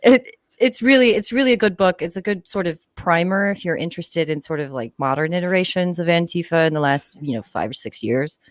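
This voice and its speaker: A woman with a slightly low voice talks casually as a guest on a livestream. She is informal and swears now and then. The sound is close and dry. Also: animated, brisk, dry recording. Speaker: livestream guest, activist live-tweeter.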